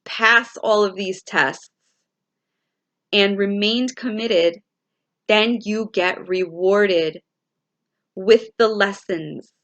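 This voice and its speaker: Slow-talking woman with a raspy morning voice. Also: medium pitch, fairly bright tone, dull audio. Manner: slow, musing, repeats and restarts phrases. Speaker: spiritual vlogger and tarot reader. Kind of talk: casual spiritual talk to viewers.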